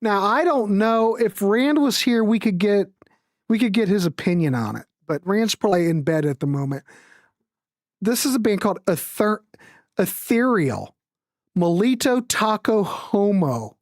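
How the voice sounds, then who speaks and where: Casual, animated woman hosting music chat. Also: medium pitch, measured pace, no room sound, studio quality. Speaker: music show host.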